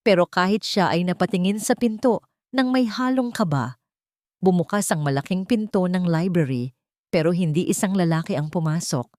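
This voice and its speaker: Woman telling a dramatic story, kinda low voice, no room echo at all. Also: lively intonation, normal speed, a little mellow, studio quality. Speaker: story narrator. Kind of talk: dramatic scripted story narration in first person.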